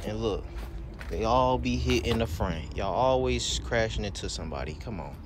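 A man's voice: lowish voice, lively intonation, normal speed. Man, car vlogger, joking and chatty, phone audio with lot noise outdoors. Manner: teasing, chatty, addresses viewers as y'all. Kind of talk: casual walkaround commentary. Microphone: handheld phone camera.

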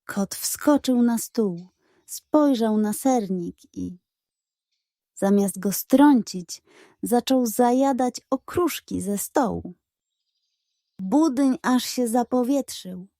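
A woman reads a children's bedtime tale with expressive delivery. Her voice is high and mellow, and she takes her time over every phrase. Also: takes it slowly, no room sound, studio quality. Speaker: storyteller. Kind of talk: scripted children's bedtime story narration.

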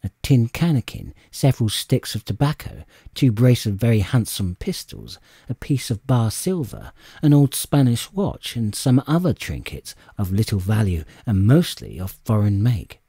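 Husky, mellow man narrating with animated pitch. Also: moderate pace, hardly any pauses, no room sound, studio quality. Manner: expressive, suspenseful storytelling. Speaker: audiobook narrator. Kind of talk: dramatic scripted storybook narration.

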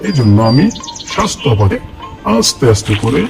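A man voicing an earnest young village boy, narrating a cartoon in the first person with a lively, repetitive telling. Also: medium pitch, a little fast, vocal fry. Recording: cartoon voice-over with loud music. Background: background music.

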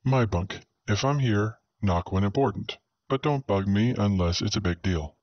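A man voicing a gruff, blunt and bossy male alien pilot in a sci-fi story, in a curt, grumbling way. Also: on the low side, moderate pace, no room sound, lo-fi sound, close to an everyday voice. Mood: curt warning. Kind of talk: sci-fi audiobook character.

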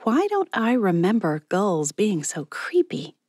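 A woman's voice: sing-song, measured pace, darkish tone, husky, no echo, clean studio sound. A woman narrator voicing a nervous, apologetic middle-aged woman with a flustered manner in an audiobook. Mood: uneasy. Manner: flustered, squeaky under pressure.

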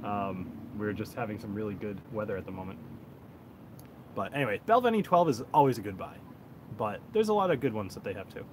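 A man's voice: on the low side, expressive, a little fast, a little mellow. Laid-back man chatting over outdoor noise. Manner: laid-back, rambling, chuckles often. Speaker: whiskey reviewer, livestream host. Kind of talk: casual livestream chat. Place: outdoors on a backyard deck. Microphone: cell phone on a tripod. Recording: noisy outdoor phone recording.

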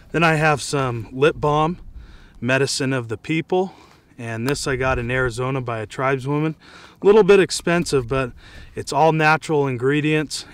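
A man's voice: medium pitch, a little hiss or hum. An American man casually demonstrating and reviewing gear to camera, speaking outdoors. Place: outdoors in dry scrubland.

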